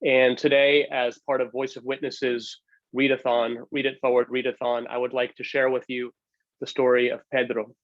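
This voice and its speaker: Male reader, mid-pitched, for oral history narration in measured phrases; dry, slightly dull recording. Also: little pitch movement, dry recording. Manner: measured phrase-by-phrase reading, occasional slips. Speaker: book co-editor reading aloud.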